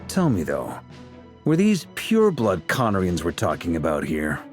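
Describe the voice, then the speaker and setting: A shrewd male leader from a fantasy game, steering the meeting with wry, probing questions. His pitch moves a lot, and faint game music plays behind him. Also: wildly swooping pitch, a little slow, heavily acted.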